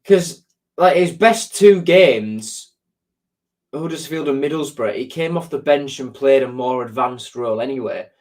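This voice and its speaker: A man's voice: mid-range voice, expressive, a little slow, a little mellow. Bunged-up man chatting to viewers. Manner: chatty, rambling, lots of ums and repeated words. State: sore throat and blocked nose. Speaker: live stream host. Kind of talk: casual live chat answering viewer comments.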